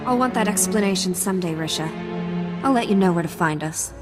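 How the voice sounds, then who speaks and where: A woman playing a hurt, feisty young alien in a video game, with a sharp, indignant manner. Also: mid-range voice, animated, fairly quick, over music, lightly acted. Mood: wounded.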